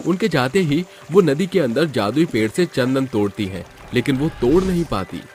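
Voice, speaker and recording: A man's voice: medium pitch, lively intonation, moderate pace, a little mellow, a little hiss or hum. A man narrating a scripted moral story as storyteller.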